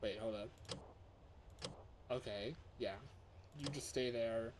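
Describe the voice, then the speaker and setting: A man doing casual live gameplay commentary as a streamer, excitable and reactive, often muttering to himself as he plays. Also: mid-range voice, slow, noisy background. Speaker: livestreamer. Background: music.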